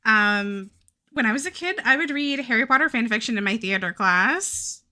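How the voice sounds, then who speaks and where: A woman's voice: a little high, animated, moderate pace, sharp tone. A young woman with a Southern US accent, chatting casually and rambling on a live stream, swearing and laughing often. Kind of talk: casual chat while drawing on a live stream.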